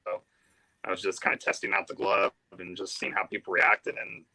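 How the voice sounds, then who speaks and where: A man's voice: sits low, level tone, normal speed, creaky, pushed and bright, a little hiss or hum, rough recording. A man, an actor guest, chatting upbeat and loose with plenty of "like" and "so". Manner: upbeat, lots of "like" and "so". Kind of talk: casual live show chat.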